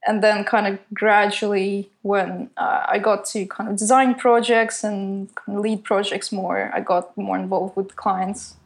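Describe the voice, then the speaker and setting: A woman's voice: mid-range voice, fairly even intonation, a little slow, few pauses. A young woman who is not a native English speaker, an animator answering interview questions in a casual, hesitant way full of fillers. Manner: hesitant, lots of "kind of" fillers. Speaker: animator at a studio.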